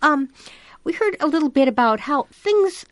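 An older woman from Iowa hosting community radio, chatty and folksy, swooping up in pitch when she exclaims. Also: animated, moderate pace, dry recording. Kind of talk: casual radio chat. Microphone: studio microphone.

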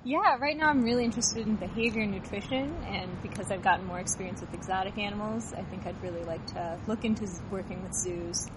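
A woman's voice: medium pitch, fast, few pauses. A young woman from Illinois, a student, answering interview questions in a chatty, upbeat way. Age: young adult. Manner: chatty, laughs often. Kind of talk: casual field interview answers. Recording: loud traffic noise behind.

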